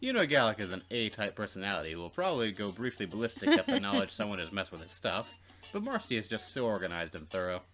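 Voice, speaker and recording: A man reading visual novel narration aloud as a let's play co-host, expressive and wry, with casual asides. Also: lowish voice, fast, hardly any pauses.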